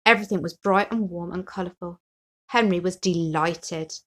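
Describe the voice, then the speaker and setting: A woman reading a children's picture book aloud as an expressive storyteller. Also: on the low side, moderate pace. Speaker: story reader.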